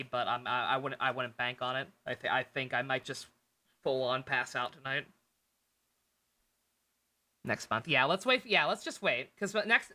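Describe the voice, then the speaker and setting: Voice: medium pitch, bright, forceful tone. A tired livestreamer chatting casually to viewers, rambling and thinking aloud with frequent swearing. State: tired, short on sleep. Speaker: game livestreamer.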